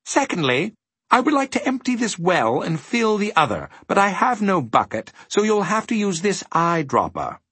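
A man playing an amiable, excessively courteous, faceless gentleman in a children's storybook. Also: high voice, sing-song, moderate pace, slightly rough, no room sound, pitched above the performer's own voice, heavily acted. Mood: polite, cheerful. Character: elegant faceless gentleman demon, amiable and over-polite.

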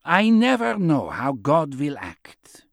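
A serene old holy man, played by a male narrator, frail yet defiant. He speaks slowly and solemnly, with pitch lifted above an ordinary man's, in a dry audiobook recording. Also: huge pitch swings, gravelly, no room sound, full character voice. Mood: calm, solemn. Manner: slow, solemn, unshaken.

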